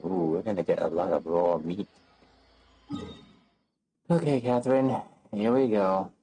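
A man in his thirties or forties giving casual, low-key gameplay commentary, thinking aloud in terse phrases. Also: steady tone, slow, warm tone, a little hiss or hum, poor audio. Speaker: game streamer. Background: game music.

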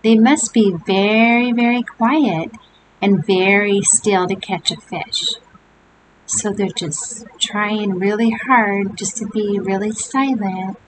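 An older woman reading a picture book aloud to young children, with gentle chatty asides. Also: mid-range voice, slow pace. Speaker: storybook reader. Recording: home recording with steady hiss.

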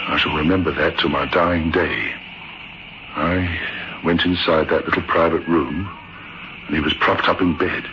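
An actor playing a haunted theatre manager, speaking gravely in an old-time radio drama. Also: male voice, extremely low pitch, fairly even intonation, measured pace, background noise. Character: haunted theatre manager recalling a horror he witnessed. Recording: muffled old radio recording.

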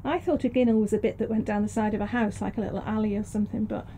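A woman's voice: medium pitch, fairly even intonation, rapid-fire, warm tone. A British woman giving a casual, rambling garden tour in a chatty, self-deprecating way, recorded on a handheld camera. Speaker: gardening vlogger. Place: allotment garden and greenhouse. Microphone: handheld camera's built-in microphone. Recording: noisy outdoor handheld camera audio.

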